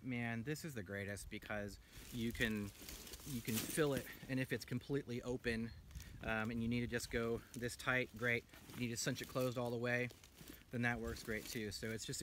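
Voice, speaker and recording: A man's voice: medium pitch, steady tone, moderate pace, a bit bright, a little hiss or hum. A man in his thirties enthusiastically showing off and reviewing a pack he made, talking casually with lots of ums while sitting outdoors in a forest. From United States. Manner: enthusiastic, rambling with many ums. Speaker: gear maker reviewing his own pack. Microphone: camera a few feet away.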